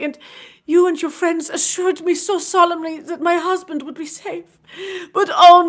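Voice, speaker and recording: A woman's voice: very high-pitched, fairly bright tone, voice pushed up. A woman voicing an anguished aristocratic French countess in a storybook, formal and emotional, with a French accent. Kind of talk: dramatized storybook reading.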